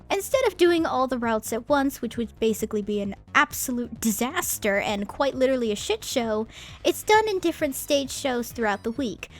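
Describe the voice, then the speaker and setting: A young woman hosting a casual video essay, talking in a chatty, candid way with wry asides. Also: high-pitched. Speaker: anime commentary host.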